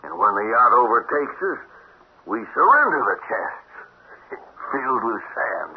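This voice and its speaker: An actor playing an excitable, stubborn, scheming treasure hunter in a radio drama, halting and repeating words when worked up. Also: male voice, mid-range voice, husky, highs cut off, full character voice. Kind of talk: 1970s radio drama acting. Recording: noisy old radio broadcast recording.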